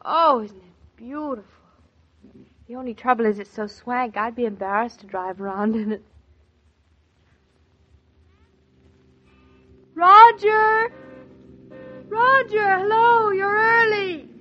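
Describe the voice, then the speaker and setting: A woman's voice: high voice, expressive, measured pace. An actress playing a playful, affectionate young American newlywed wife who frets over her husband, in old-time radio drama style. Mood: bright, sociable. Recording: muffled vintage radio transcription.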